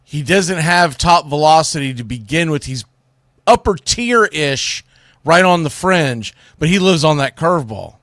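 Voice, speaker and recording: A man's voice: a little high, lively intonation, measured pace, fairly bright tone, no echo, studio quality. A man hosting a sports radio show, giving blunt, opinionated, unscripted commentary with emphatic phrasing.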